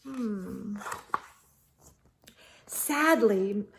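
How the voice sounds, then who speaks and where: A woman reading a story aloud to children as narrator, in a deliberate, expressive way. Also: mid-range voice, creaky, a little hiss or hum.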